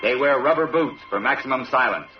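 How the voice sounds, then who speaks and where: A man's voice: medium pitch, fairly quick. An American man reading scripted 1960s military radio documentary narration in a solemn, dramatic way. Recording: dull old radio recording, distant, loud music.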